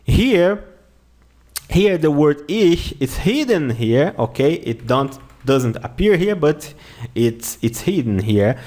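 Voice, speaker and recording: A man with a Brazilian accent teaching an online lesson, going slowly, repeating words and often checking with "okay?". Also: on the high side, sing-song, a little mellow. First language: Portuguese. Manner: slow, repeats words and phrases often, says "okay?" a lot. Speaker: language teacher.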